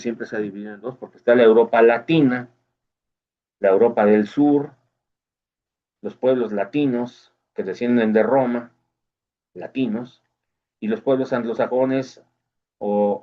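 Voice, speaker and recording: Guy rambling through an explanation, mid pitch, kinda dull muffled audio. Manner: explanatory, asks "¿no?" often. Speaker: host. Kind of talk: casual opinion monologue. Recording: dull lo-fi recording.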